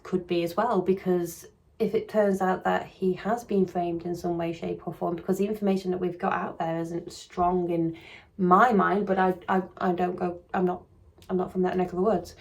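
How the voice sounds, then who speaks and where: A woman talks through a true crime case in casual vlog commentary, her voice croaky and gravelly. She sits low and keeps a flat, even delivery. She rambles and hedges, restarting her sentences often. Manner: rambling and hedging, with frequent restarts. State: croaky voice coming on.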